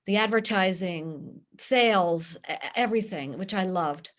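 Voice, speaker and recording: Interview guest, female, middle-aged, Pittsburgh accent, earnest and steady, mid-range pitch. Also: normal speed. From Pittsburgh, USA. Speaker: guest, nonprofit director. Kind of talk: interview answers.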